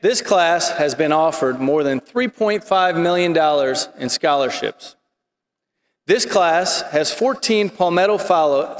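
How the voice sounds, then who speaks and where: A middle-aged man, a school administrator, reading formal announcements at a graduation ceremony in a steady way. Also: high-pitched. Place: large indoor arena. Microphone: podium microphone. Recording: roomy arena with long reverb.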